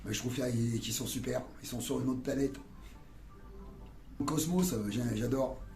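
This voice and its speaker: A man's voice: mid-range voice, moderate pace, gravelly. A middle-aged man talking casually in a street interview, rambling and slangy, with many fillers and musings. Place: outdoors on a town street. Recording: roomy sound with loud music behind.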